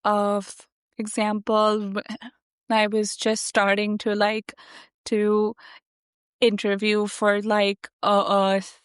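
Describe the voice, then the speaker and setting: A young adult woman who stutters, speaking haltingly with many fillers as an interview guest. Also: mid-range voice, monotone, clean tone, no room sound. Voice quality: stutter with repeated sounds and blocks. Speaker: guest, software engineer. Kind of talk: conversational interview.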